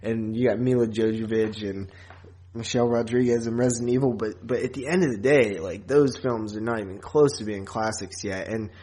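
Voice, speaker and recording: A man with a fairly low voice hosts a laid-back podcast conversation. He rambles informally from one thought to the next, often with a chuckle in his words. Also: brisk, darkish tone. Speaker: podcast host. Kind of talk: casual chat about horror movies and podcasting.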